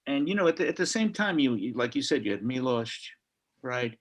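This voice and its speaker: A man's voice: medium pitch, very animated, fairly quick. An older man from Chicago, speaking reflectively on a video call panel, telling stories with frequent restarts. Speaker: panelist author.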